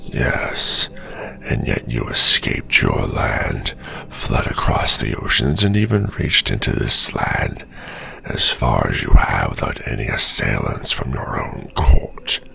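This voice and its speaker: An ancient, battle-worn werewolf for an ASMR roleplay. The voice is extraordinarily low and thick with gravel, with a forceful breathy edge. Each phrase comes slowly and with menacing, sardonic weight. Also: male voice, huge pitch swings, slight background noise, heavily acted. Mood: accusing. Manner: slow, deliberate, theatrical speech. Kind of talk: ASMR fantasy roleplay acting.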